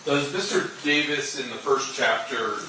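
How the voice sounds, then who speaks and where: An American man questioning a witness as prosecutor in court, halting and feeling for his words. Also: mid-range voice. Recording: echoey courtroom, distant mic, clear noise.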